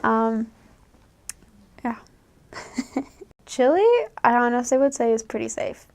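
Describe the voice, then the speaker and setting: A woman's voice: fairly high pitch, fairly even intonation, takes it slowly, gravelly. A young woman from the United States, a returned missionary, giving chatty advice and laughing often as she acts out quoted voices. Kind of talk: casual advice talk.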